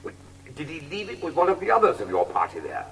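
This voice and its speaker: Gang boss: pompous, sinister, unctuous, hugely animated delivery, distant in a big echoing space. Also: male voice, fairly high pitch, fast, creaky, slight background noise, heavily acted. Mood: pompous chiding. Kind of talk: dramatised audiobook acting. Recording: reverberant hall, distant from mic.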